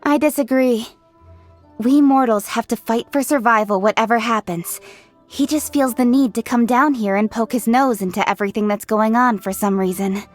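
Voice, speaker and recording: An actress voicing a driven, confident young woman official in a video game, speaking in a brisk, forthright way. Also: fairly high pitch, faint music behind, natural voice. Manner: brisk, forthright and self-assured. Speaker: game character voice lines. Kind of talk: game voice-over.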